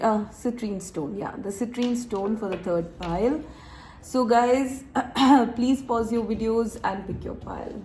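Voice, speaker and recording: A woman recovering from a cold, reading tarot to her viewers in a chatty, relaxed way, laughing and clearing her throat often. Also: medium pitch, slight background noise, poor audio. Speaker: tarot reader. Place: room with door open, wind chimes outside.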